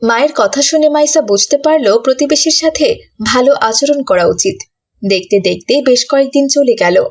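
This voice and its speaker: High-voiced woman storyteller. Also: normal speed, far from the mic. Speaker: narrator. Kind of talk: scripted moral storytelling narration.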